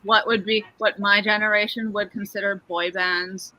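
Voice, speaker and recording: A woman's voice: mid-range voice, level tone, normal speed, bright, forceful tone, no room sound, poor audio. A woman in her thirties, a guest artist on a casual drawing stream, curious, asking questions and giggling. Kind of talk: casual chat while drawing.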